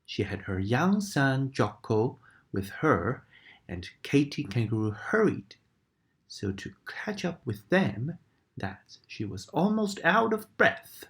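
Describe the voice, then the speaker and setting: Low-voiced, expressive bedtime storyteller woman. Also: slow. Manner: expressive, dramatic, dips into whispers. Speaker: parent reading a storybook aloud. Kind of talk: read-aloud bedtime story narration.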